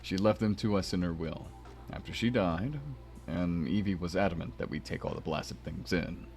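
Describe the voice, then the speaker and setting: A man voicing a kindly, wistful older schoolteacher, deep and wordy, in a visual novel, with music behind. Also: normal speed, creaky, darkish tone. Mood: wistful, chatty. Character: kindly, bemused older male former teacher, wistful widower.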